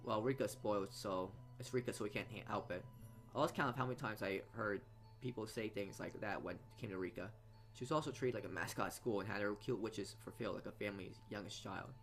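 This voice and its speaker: A man's voice: lowish voice, quick pace, a bit bright. A man reading visual novel narration aloud as a let's play host, stumbling and repeating words as he goes. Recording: game music behind the voice. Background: game music.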